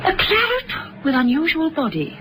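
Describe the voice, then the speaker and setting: An actress playing a composed, playful female agent with a wry deadpan manner in a vintage radio drama. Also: high voice, normal speed. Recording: noisy vintage radio recording.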